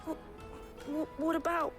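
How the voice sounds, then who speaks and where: A woman's voice: high voice, level tone, slow, music in the background. A woman voicing a nervous, timid child in a fantasy video game, hesitant and stammering. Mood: frightened. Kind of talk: dramatic video game acting.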